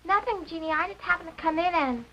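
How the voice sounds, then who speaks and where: A woman's voice: very high-pitched, fast, a bit bright, highs cut off. A woman playing an eager, innocent American girl of about twelve in a 1950s educational film, speaking in an earnest, exclamatory way. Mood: defensive, curious.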